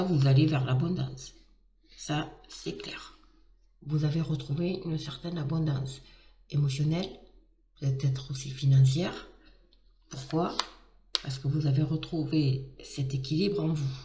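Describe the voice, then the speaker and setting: Hoarse woman giving a card reading. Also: sits low, echoey room, distant mic, slight background noise. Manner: warm, enthusiastic, many fillers and restarts. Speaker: tarot card reader. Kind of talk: casual astrology reading to camera.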